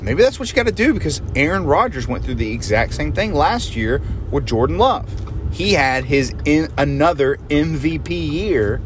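A man hosts a homemade podcast in a loose, rambling way, tripping over words and circling back to fix himself. His pitch sits in an ordinary middle range. A faint hum of noise runs underneath. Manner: rambling, loose, self-correcting. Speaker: podcast host. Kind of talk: casual sports prediction chat.

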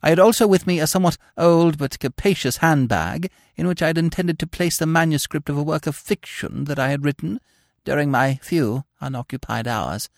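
A man's voice: fairly high pitch, fairly quick, vocal fry, no room sound, studio quality, voice pushed up. A man voicing a flustered, prim middle-aged spinster governess in a storybook reading. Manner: fussy, prim, genteel.

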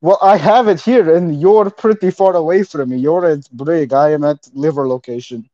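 Podcast co-host, male, dark voice on a dry, dull recording, mock-solemn deadpan rambling. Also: on the high side, moderate pace, no echo. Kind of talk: improvised comedy banter.

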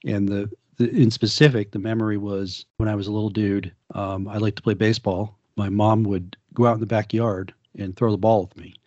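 Man podcast host, husky low voice, dry dull audio, relaxed chatty way of asking questions. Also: brisk, few pauses, dry recording. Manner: relaxed, conversational, asks guests questions. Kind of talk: casual podcast discussion.

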